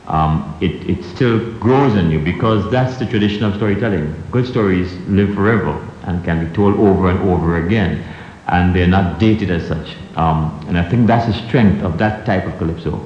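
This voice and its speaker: Deep-voiced Caribbean man, echoey old tape. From Trinidad-born, grew up in Grenada. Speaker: storyteller giving a tribute. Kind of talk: reflective interview talk. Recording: echoey, dull, noisy old radio tape.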